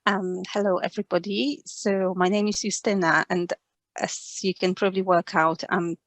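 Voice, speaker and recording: A woman in her forties with a Polish accent, talking reflectively and casually, often halting and repeating words. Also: mid-range voice, level tone, no echo. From Poland. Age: 40s. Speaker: interview guest, speech and language therapist.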